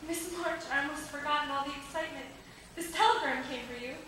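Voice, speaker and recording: A high-voiced, motherly older landlady, acted by a young woman speaks on stage. The recording is distant and very echoey, with clear background noise. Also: quick pace, natural voice. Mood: kindly, sympathetic. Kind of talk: stage drama acting. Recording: echoey noisy hall, distant mic.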